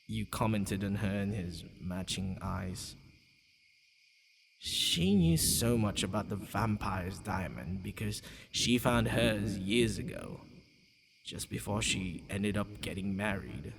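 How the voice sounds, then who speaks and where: A man playing a centuries-old vampire lover, tender, possessive and flustered, halting and sighing in an intimate ASMR romance roleplay with night insects faintly behind him. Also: fairly low pitch, lively intonation, noisy background, full character voice. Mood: amused, musing. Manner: halting, stammering, breathy sighs.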